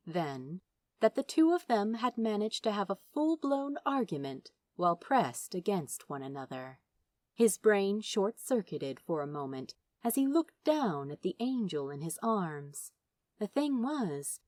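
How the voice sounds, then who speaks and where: A woman reading fan fiction aloud as an audiobook narrator, with expressive, dramatic storytelling. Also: medium pitch, dry recording, clean studio sound.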